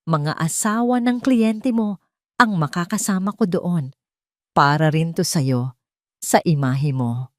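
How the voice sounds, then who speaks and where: A woman's voice: fairly low pitch, slow, long pauses, no room sound, studio quality. A woman voicing a vain, demanding young wife in an audio drama, indignant and insistent. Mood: insistent, defensive.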